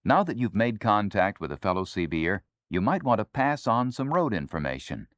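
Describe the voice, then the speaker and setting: An American man narrates an instructional record in a low voice with animated rises and falls. The delivery is scripted and explanatory, and the sound is close and dry. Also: normal speed, no echo.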